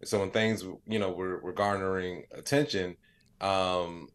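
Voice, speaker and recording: A middle-aged American man speaks as an interview guest in a low-pitched voice. He talks casually and reflectively, telling stories in an easygoing conversational style. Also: steady tone, measured pace. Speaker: podcast guest, comedian and digital creator.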